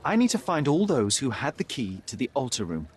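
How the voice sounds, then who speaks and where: A young sharp detective, formal and precise, lively pitch. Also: male voice, fairly quick, slight background noise. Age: young adult.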